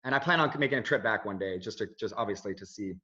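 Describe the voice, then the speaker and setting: A man's voice: on the low side, quick pace, bright, forceful tone. A man in his thirties, raised in Switzerland, with no accent, speaking as an interviewee in an earnest, rambling way full of restarts and fillers. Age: 30s. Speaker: interviewee, video journalist. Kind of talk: casual conversational interview. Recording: dull lo-fi audio.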